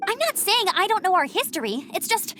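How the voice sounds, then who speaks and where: A woman playing an energetic, earnest young knight scout in a video game, eager and talkative. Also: very high-pitched, brisk, slight background noise, exaggerated voice. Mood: chatty.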